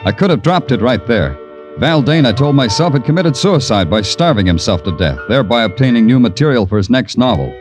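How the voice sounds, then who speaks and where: An actor playing a wry, world-weary American police detective in an old radio crime drama, deadpan and sardonic. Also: male voice, mid-range voice, brisk, music in the background, lightly acted. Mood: brooding narration. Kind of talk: 1940s-50s radio crime drama acting and narration.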